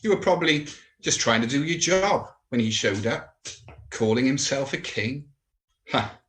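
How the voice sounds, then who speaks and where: Guy playing a bitter roman governor doing a dramatic monologue, sneering, emphatic, mid pitched voice. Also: expressive, measured pace, fairly bright tone. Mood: scornful, proud. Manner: emphatic, rhetorical, scornful. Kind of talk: dramatic monologue in a church service.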